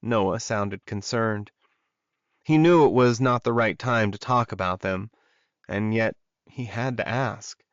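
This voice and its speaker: A man's voice: lowish voice, takes it slowly, no echo, muffled recording. A man reading scripted fiction as an audiobook narrator.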